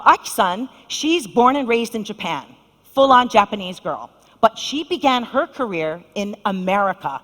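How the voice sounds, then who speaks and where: Upbeat woman moderating in a big hall. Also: a little high. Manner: upbeat, addresses audience directly. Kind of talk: conference panel introduction. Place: conference hall stage. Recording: long reverb of a large hall.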